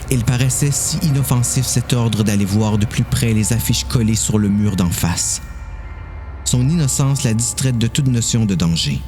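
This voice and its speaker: Guy narrating a thriller story, lowish voice, faint music behind. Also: darkish tone. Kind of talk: dramatic scripted story narration.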